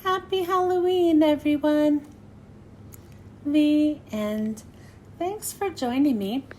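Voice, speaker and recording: A woman reading a rhyming storybook to children in an expressive, sing-song way. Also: high voice, takes it slowly, slight background noise. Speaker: children's read-aloud host. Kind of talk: scripted storybook narration for children.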